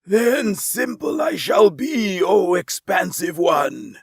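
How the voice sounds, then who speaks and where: A man voicing a pompous, arrogant male alien with a grandiose, drawn-out, mocking manner. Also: sits high, big pitch swings, gravelly, slightly rough, no echo, clean studio sound, voice pushed up, exaggerated voice. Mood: contemptuous.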